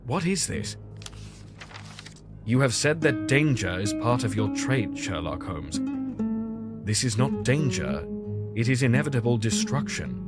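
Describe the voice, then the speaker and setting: An actor playing a fussy, caring Victorian gentleman doctor in an audio drama, with earnest, old-fashioned formal speech. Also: medium pitch, very animated, measured pace, long pauses, loud music behind the voice. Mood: grave, troubled.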